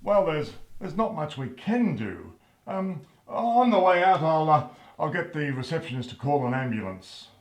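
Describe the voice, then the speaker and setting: A man's voice: fairly high pitch, big pitch swings. Man playing a hesitant, self-important actor, echoey room. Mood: hesitant, evasive. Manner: halting, hesitant delivery. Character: Tom, hesitant, self-important male radio producer turned actor. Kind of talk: amateur-style comedy drama dialogue. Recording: echoey room, distant microphone.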